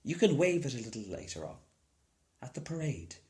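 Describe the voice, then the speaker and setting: A man's voice: mid-range voice, sing-song, a little fast, a little mellow, natural voice. A man voicing a kindly, welcoming woman who keeps a sweet shop in a storybook, with folksy turns of phrase.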